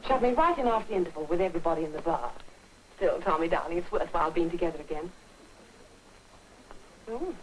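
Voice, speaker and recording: An actress playing a chatty, self-absorbed English stage performer in a 1940s British film. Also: female voice, on the low side, darkish tone, slight background noise, lightly acted, poor audio.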